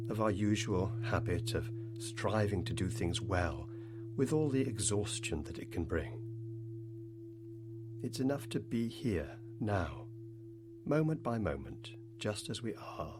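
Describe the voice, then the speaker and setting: A man leading a guided meditation, speaking in unhurried phrases with long pauses between them. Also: fairly low pitch.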